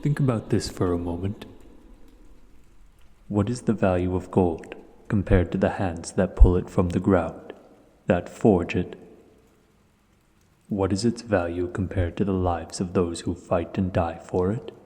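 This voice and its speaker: A man plays a vast, age-old male dragon for an audio roleplay. The voice is very deep and dark, rolling through long cavern reverb. He speaks slowly and deliberately, a philosophical host who keeps a lofty distance. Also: steady tone. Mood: calm, philosophical. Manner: slow, deliberate, musing. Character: ancient male dragon, huge, aloof yet hospitable, philosophical.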